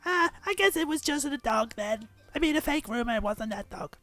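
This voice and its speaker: A woman's voice: high voice, animated, a little fast, a bit bright, no echo, faint music behind. A woman voicing an earnest anime schoolgirl in a visual novel game. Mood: chatty, confiding. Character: earnest high-voiced anime schoolgirl who loves animals.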